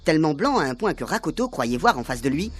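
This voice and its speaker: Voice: medium pitch, very animated, fast talker, slightly rough. A narrator telling a comic radio serial in French, with a theatrical, suspenseful delivery. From Réunion. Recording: music under the voice. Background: music.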